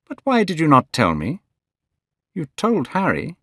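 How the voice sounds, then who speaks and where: A man voicing a gentle, earnest painter friend in a spoken storybook. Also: on the high side, huge pitch swings, a little slow, dry recording, voice pushed up, heavily acted. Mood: sincere.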